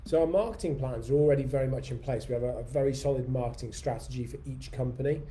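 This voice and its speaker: Very dark-voiced English man, rambling live chat. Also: mid-range voice, fast talker, a little hiss or hum. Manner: rambling, reads viewer comments aloud. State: slight cough. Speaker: host of a weekly livestream. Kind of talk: unscripted casual live chat.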